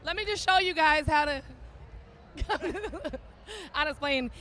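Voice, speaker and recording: A woman's voice: high voice, slow, bright, forceful tone. A woman hosting interviews at a crowded expo, flirty, teasing and upbeat. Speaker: host and interviewer. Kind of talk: playful interview. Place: crowded adult expo hall.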